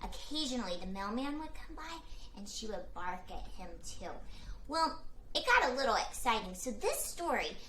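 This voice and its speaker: A woman hosts a children's read-aloud, speaking straight to young listeners. Her voice sits fairly high and moves around with lots of animation. The room is noticeably echoey. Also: sing-song, moderate pace, fairly bright tone. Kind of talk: scripted, child-directed introduction and sign-off. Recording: echoey room, background noise.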